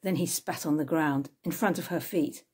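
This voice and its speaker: Female narrator, 60s, low-pitched, slightly husky, expressive storybook reading. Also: normal speed. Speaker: author reading from own novel. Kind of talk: scripted narration, audiobook-style reading. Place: small room at home with bookshelves, indoors.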